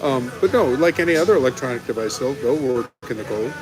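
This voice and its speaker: A man's voice: mid-range voice, expressive, fast, poor audio. A middle-aged man chatting and bantering casually on a live stream at a restaurant table. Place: restaurant dining room, indoors. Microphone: phone at arm's length. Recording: music playing in the room. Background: music.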